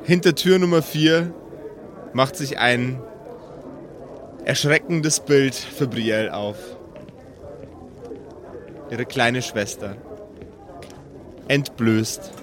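A man narrating an improvised tabletop game as game master, in a theatrical, deliberate storytelling manner. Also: medium pitch.

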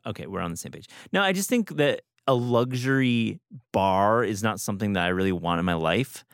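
Low-voiced man in casual, slangy chat. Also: animated, fairly quick, dry recording, studio quality. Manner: slangy, enthusiastic about food. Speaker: podcast host.

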